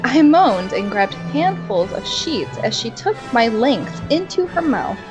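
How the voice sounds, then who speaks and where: A woman's voice: fairly high pitch, very animated, unhurried, over music, poor audio. A young woman reading fan fiction aloud in a mock-dramatic way and adding sarcastic, giggly comments between passages.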